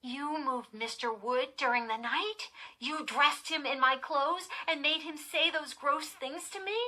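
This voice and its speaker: A woman voicing a frightened, high-strung young girl in a storybook, breathless, emotional and insistent. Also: animated, slow pace, pushed and bright, heavily acted, rough recording. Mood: disbelieving, upset.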